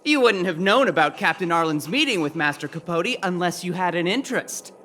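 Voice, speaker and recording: A woman's voice: lowish voice, lively intonation, fairly quick, a bit bright. A woman playing a keen, zealous young fan club devotee in a video game. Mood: knowing, excited.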